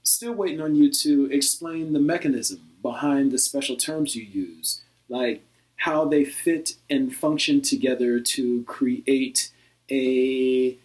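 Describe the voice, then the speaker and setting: A man playing a patient, reasoning skeptic in a satirical dialogue, putting pointed questions in a measured, articulate way. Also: mid-range voice, a little mellow, close to an everyday voice, low-quality recording. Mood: probing.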